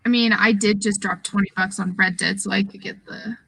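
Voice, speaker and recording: A woman's voice: mid-range voice, fairly even intonation, brisk, creaky, fairly bright tone, husky, dry recording, low-quality recording. A woman on a podcast panel, chatting casually about video games.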